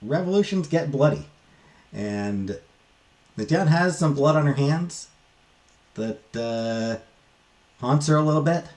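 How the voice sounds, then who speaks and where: A man talking casually and unscripted as a book reviewer, in a halting, hesitant way with trailing asides. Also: a little high, expressive, darkish tone.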